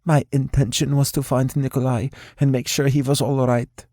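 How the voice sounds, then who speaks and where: A man's voice: medium pitch, flat delivery, moderate pace, a little mellow, no room sound, clean studio sound, voice pushed up, lightly acted. A man voicing a defiant young woman. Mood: insistent. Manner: insistent, blunt. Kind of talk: audiobook character voice.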